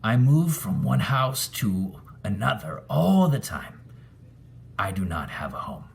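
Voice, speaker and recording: A needy, queasy storybook ghost, acted out by a man reading aloud, pitched far up into a childlike whine. The words stretch out slowly and plaintively, swinging widely in pitch. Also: wildly swooping pitch, vocal fry, full character voice. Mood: forlorn.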